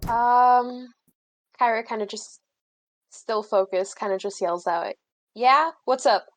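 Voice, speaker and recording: A woman's voice: fairly high pitch, slow. A woman improvising lines as a player in a tabletop roleplaying game, speaking in a casual, offhand way.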